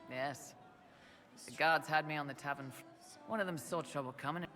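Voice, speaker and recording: A man's voice: on the high side, normal speed, bright, forceful tone, soft background music. An actor playing a blunt, loyal male mercenary lieutenant in a fantasy video game cutscene. He talks in plain, no-nonsense soldier language.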